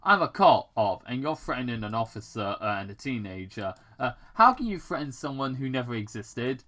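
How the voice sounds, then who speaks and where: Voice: medium pitch, very animated, moderate pace, a bit bright. A reader voicing a protective father, a police officer, in fan fiction, with blustering, stumbling warnings. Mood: defiant.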